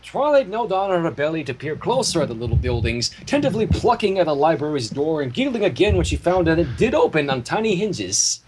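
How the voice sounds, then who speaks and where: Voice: medium pitch, very animated, fairly quick, few pauses. A livestream host reading a story aloud in narration, mixed with casual chat. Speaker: fanfiction reader, livestream host. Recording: faint background noise, livestream audio.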